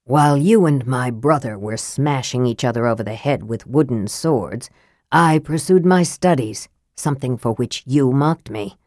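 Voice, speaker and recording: A woman's voice: very deep voice, moderate pace, darkish tone, no echo, studio quality, voice pushed down. A woman reading an audiobook, voicing a frail, bitter young male mage who speaks in a cool, peevish, hissing tone. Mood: cold, cutting. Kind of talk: audiobook character voice.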